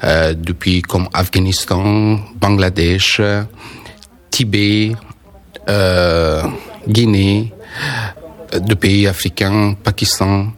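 Deep-voiced man, halting phrasing. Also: fairly even intonation. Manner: simple halting sentences. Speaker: social worker. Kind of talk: interview answers.

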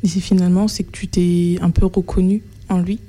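A woman's voice: lowish voice, flat delivery, a little fast, dark tone. A woman interviewing a guest, rephrasing his answers back to him and leaning on casual fillers. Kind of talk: conversational interview.

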